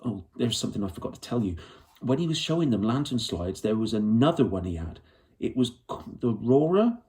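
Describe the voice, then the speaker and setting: A man's voice: medium pitch, big pitch swings, a little fast, a little mellow, lightly acted. A man voicing a fierce, stubborn young girl in a children's storybook, earnest and eager, rambling breathlessly in ungrammatical colloquial speech. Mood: earnest, recalling.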